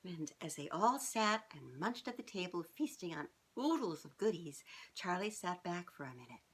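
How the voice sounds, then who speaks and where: Middle-aged woman, lowish voice, expressive storybook reading, addressing small children, noisy home recording. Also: normal speed. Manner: expressive, speaking directly to young children. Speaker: children's author reading aloud. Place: small furnished living room, indoors.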